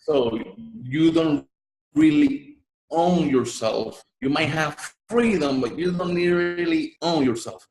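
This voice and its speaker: A man's voice: fairly high pitch, very animated, slow pace, darkish tone, echoey room, slight background noise, poor audio. A man from Puerto Rico with a Puerto Rican Spanish accent, Spanish his first language, telling his story as an interview guest in an earnest way, often repeating words. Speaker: guest, online marketer.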